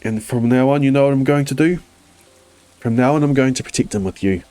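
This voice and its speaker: A man's voice: mid-range voice, fairly quick, warm tone, natural voice. A man voicing a big, protective kangaroo in a storybook read aloud, with an eager, raised voice. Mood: earnest.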